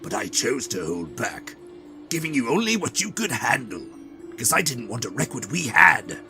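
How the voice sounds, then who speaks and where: A man voicing a manic, taunting male clown villain in a fan-dubbed motion comic, theatrical and swinging from shouts to hushed tones. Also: medium pitch, very animated, moderate pace, gravelly, over music.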